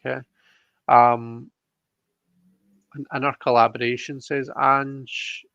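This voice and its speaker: A man's voice: medium pitch, level tone, takes it slowly, creaky, a bit bright, clean tone, dry recording, lo-fi sound. A man hosting a casual livestream, chatting informally and a little hesitantly. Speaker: host of a live tarot reading show. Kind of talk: casual livestream chat, reading out comments.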